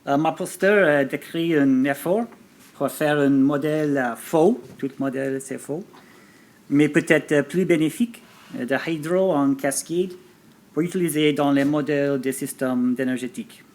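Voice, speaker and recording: A man with a somewhat high voice gives a research pitch at a conference. He is a native English speaker working in a second language, so he goes haltingly and hesitates often. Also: darkish tone. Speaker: government researcher presenting a poster pitch. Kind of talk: conference presentation. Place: live forum presentation.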